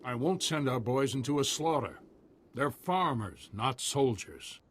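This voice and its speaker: A man voicing a humble, cautious frontier militia leader in a video game, husky and folksy. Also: mid-range voice, animated, a little slow. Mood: weary.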